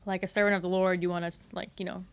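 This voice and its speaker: A woman's voice: fairly low pitch, little pitch movement, racing, smooth. A young woman with an American accent chatting casually as a podcast guest, rambling informally with lots of "like". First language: English. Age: young adult. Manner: informal, rambling, frequent use of "like". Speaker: podcast guest, English major. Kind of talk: casual chat.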